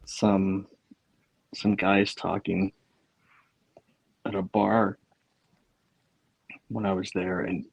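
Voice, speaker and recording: A man's voice: low-pitched, flat delivery, very slow, gravelly, pauses often, no room sound, poor audio. A man co-hosting a podcast, laid-back and slangy, with lots of fillers. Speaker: podcast co-host. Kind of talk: casual chat.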